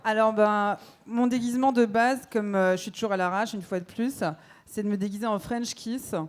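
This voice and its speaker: A woman in her thirties who grew up in Belgium, talking in a loose, chatty way. She fills her sentences with fillers and laughs easily. Her voice sits at an ordinary middle pitch. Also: steady tone, normal speed, clear, resonant tone. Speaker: dating show contestant. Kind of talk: casual chat.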